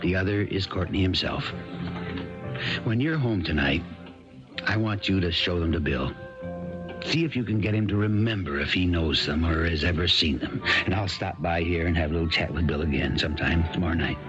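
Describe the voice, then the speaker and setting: Small-town sheriff, male actor: low, calm, doggedly probing, halting delivery. Vintage radio play, muffled, music underneath. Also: natural voice. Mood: dryly suspicious. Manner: hesitant, halting questions with ums and uhs. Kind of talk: 1970s radio drama acting. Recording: dull 1970s radio broadcast, music behind.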